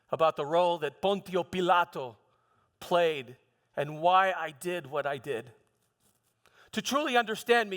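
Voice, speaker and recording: Guy acting as a proud defensive roman governor, high bright voice, halting dramatic church monologue in a big echoey hall. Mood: defiant. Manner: declamatory, emphatic, halting with repeated words. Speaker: preacher performing a dramatic monologue. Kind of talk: first-person theatrical church monologue. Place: large hall, live church performance. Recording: long hall reverb.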